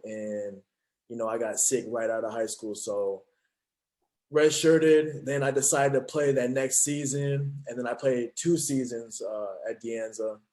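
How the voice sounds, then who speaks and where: A man's voice: mid-range voice, a little mellow, low-quality recording. Earnest young man from California. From Fresno, California. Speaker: guest, trainer. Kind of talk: casual interview.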